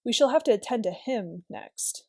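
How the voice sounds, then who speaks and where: A woman's voice: on the high side, lively intonation, moderate pace, creaky, dark tone, no room sound, clean studio sound. A woman voicing an upset, hot-tempered schoolgirl with blunt, old-fashioned phrasing in a storybook reading. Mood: confiding.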